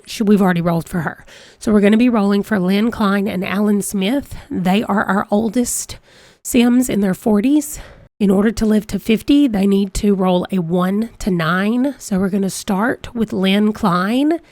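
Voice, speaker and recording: A woman's voice: medium pitch, normal speed, a little mellow, dry recording. A woman hosting a let's play, chatty and reading out dice rolls, her voice congested from a cold. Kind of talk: casual game commentary.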